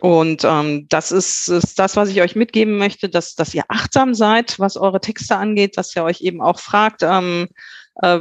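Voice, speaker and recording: High-voiced man giving blunt writing advice. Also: no room sound. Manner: direct, punchy, many filler sounds. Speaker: copywriting expert guest. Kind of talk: advice to listeners in a podcast.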